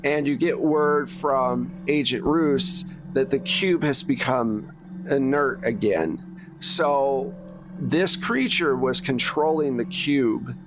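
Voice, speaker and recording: A man narrates a tabletop horror game as its game master. He takes his time, pausing between short phrases as he improvises. Music plays clearly behind his voice. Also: mid-range voice, rough recording. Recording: music playing under the voice.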